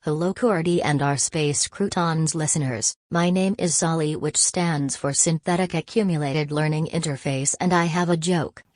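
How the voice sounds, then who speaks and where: A woman playing a polite, formal AI assistant with a deadpan, faintly menacing manner in a scripted audio comedy. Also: low-pitched, moderate pace, hardly any pauses, lightly acted. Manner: formal, literal, deadpan delivery.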